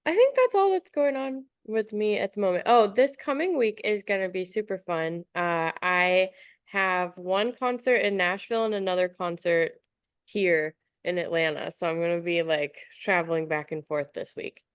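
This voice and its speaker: A woman's voice: lowish voice, moderate pace, clean tone, dry recording, studio quality. A woman chatting casually as a podcast co-host, chatty and giggly, with a slightly stuffy nose. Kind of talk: casual chat.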